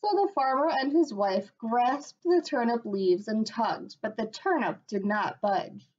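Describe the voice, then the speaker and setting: Woman teacher reading a kids' book really slowly, bit high voice, muffled lo-fi webcam sound. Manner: slow, expressive, gentle read-aloud voice. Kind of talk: storybook read-aloud on webcam. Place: small room at home. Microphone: webcam at desk. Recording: dull lo-fi webcam audio.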